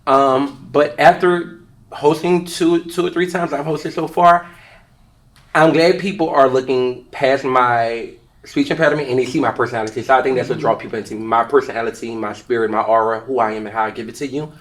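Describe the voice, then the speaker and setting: A man with a speech impediment who talks loudly, a cast member who hosts events. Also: medium pitch. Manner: loud, fast, expressive. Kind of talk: casual reunion talk.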